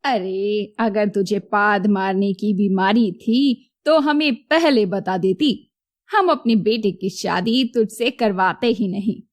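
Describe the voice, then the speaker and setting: A woman voicing a fed-up, reproachful mother-in-law in a cartoon story, speaking deliberately as she scolds. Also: medium pitch, natural voice. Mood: scolding. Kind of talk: animated moral-story dialogue.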